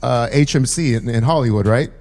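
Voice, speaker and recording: A man's voice: mid-range voice, a little fast, a little mellow, faint music behind. A man from Southern California chatting casually as a podcast guest. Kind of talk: casual chat.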